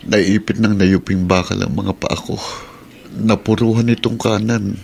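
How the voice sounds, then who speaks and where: A man's voice: fairly low pitch, fairly even intonation, unhurried, creaky, a little mellow, a little hiss or hum. An actor playing an injured middle-aged jeepney driver and father in a Filipino radio drama, plaintive and weary, with a Filipino accent. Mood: resigned, weary.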